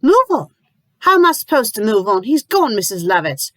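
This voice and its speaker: A woman voicing a grieving young widowed mother who pleads in a high, strained voice. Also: moderate pace, dry recording, studio quality, close to an everyday voice. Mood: indignant.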